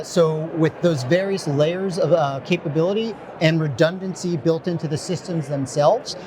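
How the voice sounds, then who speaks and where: A man walking a reporter through radar hardware in a measured way, pausing on ums and uhs. His voice sits a little high. Clear background noise runs underneath. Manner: measured, filler-heavy explanation. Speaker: technical expert. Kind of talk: explaining equipment.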